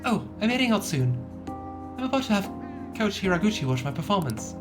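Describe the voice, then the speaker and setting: A woman's voice: medium pitch, very animated, brisk, pitched above the performer's own voice, close to an everyday voice. A woman voicing a shy, earnest teenage girl from a video game, speaking politely and hesitantly. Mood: hopeful, polite. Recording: music playing behind the voice.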